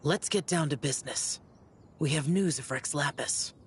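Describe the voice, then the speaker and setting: A man voicing a grave, composed young immortal guardian in a video game, serious and measured. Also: a little high, slight background noise, close to an everyday voice. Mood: grave, calm.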